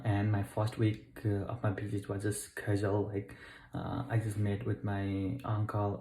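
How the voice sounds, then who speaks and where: A young man from Nepal speaks to camera in a low, mellow voice with a flat, even delivery. He stops and starts, fills gaps with "uh" and corrects himself as he goes. The sound bounces around an echoey room. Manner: halting, many fillers and self-corrections. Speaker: travel vlogger. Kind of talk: unscripted talk to camera. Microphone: camera mic.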